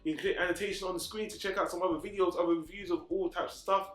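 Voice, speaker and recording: Fast high-pitched man reviewing in a roomy space. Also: steady tone, a bit bright. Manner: emphatic, blunt, swears casually. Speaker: host of a film review channel. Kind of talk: casual opinionated group movie review. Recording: roomy echo with faint music.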